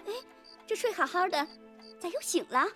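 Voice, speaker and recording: An actress playing a caring wife who fondly scolds and fusses, in a Chinese radio drama. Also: female voice, extremely high pitch, expressive, moderate pace, pushed and bright, soft background music, heavily acted. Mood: worried, fond. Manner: fond, fussing.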